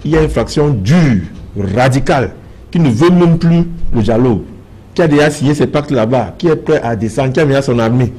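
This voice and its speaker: A man's voice: mid-range voice, fairly quick, mellow, slightly rough. A man with a Cameroonian French accent in a televised political debate, speaking emphatically and insistently and repeating phrases to drive his point home. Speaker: political party member as debate panelist.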